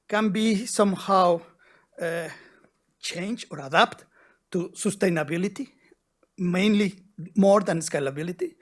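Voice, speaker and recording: Man asking a long question. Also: high voice. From Mexico. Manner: deliberate, searching for words, tells a story to make his point. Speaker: audience member asking a question. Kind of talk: seminar Q&A question.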